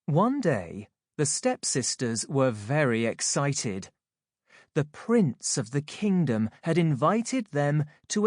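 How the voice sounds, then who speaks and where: A woman narrating a children's audiobook from a script, in a measured, expressive, clearly phrased way. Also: low voice, no room sound, clean studio sound.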